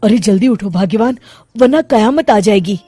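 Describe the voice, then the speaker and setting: A woman voicing an anxious young husband who fears his mother, in an animated story. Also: medium pitch, normal speed, husky, no echo, soft background music, voice pushed down. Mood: anxious warning. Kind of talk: animated moral story voice acting.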